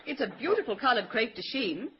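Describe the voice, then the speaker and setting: An eager young shop assistant in a vintage radio serial. Her voice is high and very animated, with polite, proper sales patter, heard on a muffled old recording. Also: fairly quick, very noisy. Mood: polite, persuasive. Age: young adult. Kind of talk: 1930s Australian radio comedy serial acting.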